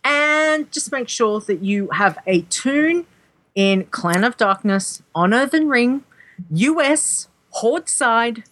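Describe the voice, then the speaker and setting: A woman co-hosting a gaming podcast, calmly walking listeners through contest details. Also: fairly high pitch. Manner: unhurried, explains contest details step by step. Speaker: co-host. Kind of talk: casual podcast chat.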